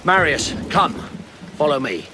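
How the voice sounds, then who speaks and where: Casting: weary Roman troop leader, fairly high, terse, game drama, heavy rain noise. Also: male voice, big pitch swings, a little slow. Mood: urgent. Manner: urgent, terse orders. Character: brisk, weary Roman soldier leading his men. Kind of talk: dramatic video game acting. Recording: loud rain and thunder over voice.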